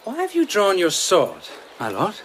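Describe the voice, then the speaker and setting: An actor playing a playful, unafraid trickster and court favourite, politely teasing and taunting in a radio drama. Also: male voice, high-pitched, wildly swooping pitch, normal speed, a bit bright, a little hiss or hum, full character voice. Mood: calm, provoking.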